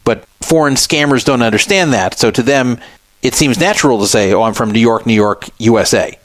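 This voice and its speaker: A man's voice: medium pitch, brisk, no echo. A man hosting a talk radio show, giving opinionated commentary and scoffing sarcastically at a statement he reads aloud.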